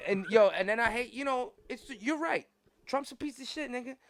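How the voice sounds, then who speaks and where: A man's voice: very high voice, brisk, a bit bright. An American man hosting a podcast, ranting casually about politics in an argumentative, emphatic way with heavy slang and swearing. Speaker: podcast host.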